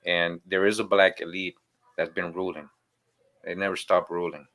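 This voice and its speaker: A man's voice: low-pitched, flat delivery, normal speed, a bit bright. A man from Boston, born in Costa Rica, talking casually and emphatically as a guest on a show. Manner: emphatic, conversational, repeats "you know". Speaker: guest teacher. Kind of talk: casual interview talk.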